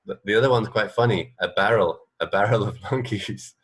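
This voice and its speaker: A man co-hosting a radio show, chatting casually about trivia and thinking aloud in a halting way. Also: lowish voice, a bit bright, breathy edge.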